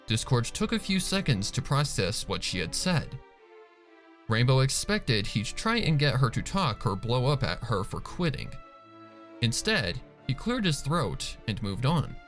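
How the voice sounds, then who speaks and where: A man's voice: mid-range voice, a bit bright. A man reading a scripted story as a dramatic narrator. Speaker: fan fiction reader and narrator.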